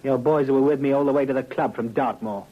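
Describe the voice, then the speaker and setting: An actor playing a defensive, talkative ex-convict suspect in a 1950s radio drama, emphatic and pleading. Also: male voice, mid-range voice, fairly quick, close to an everyday voice. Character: defensive male ex-convict suspect, talking freely. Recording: muffled old radio recording.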